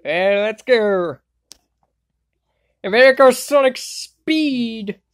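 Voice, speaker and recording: A woman voicing an excitable, wisecracking girl in an amateur anime comedy fandub, full of exclamations. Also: a little high, extremely slow. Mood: excited. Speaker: fan dub voice actor. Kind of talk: amateur cartoon fandub line readings.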